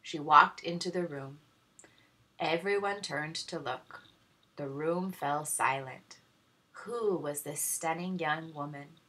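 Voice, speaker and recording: A woman reading a children's story aloud in expressive narration. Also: low voice, slow pace. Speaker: story reader.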